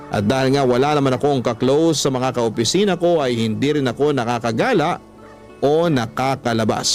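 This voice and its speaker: A man's voice: medium pitch, darkish tone. Man narrating over faint music. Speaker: radio host reading a listener's letter. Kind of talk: scripted first-person story narration, Tagalog radio drama.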